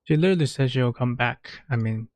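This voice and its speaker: A man's voice: medium pitch, a little fast, a little mellow, no room sound. A man giving casual, offhand gameplay commentary with game music behind him. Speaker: gamer playing through a game's story quests. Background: video game music.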